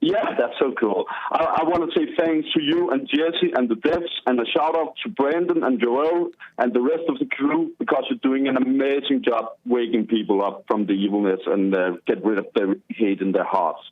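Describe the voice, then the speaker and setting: A man's voice: medium pitch, moderate pace, few pauses. A man from Denmark with a Danish accent, calling into a talk show and speaking hesitantly with many fillers. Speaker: caller. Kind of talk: phone call-in chat. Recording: tinny phone line.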